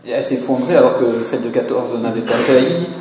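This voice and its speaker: A man's voice: mid-range voice, fast talker, a crowd in the background. A French man on a conference panel, speaking hesitantly and searching for his words. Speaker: conference co-speaker, magazine editor. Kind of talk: panel discussion. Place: conference hall. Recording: echoey hall with long reverb.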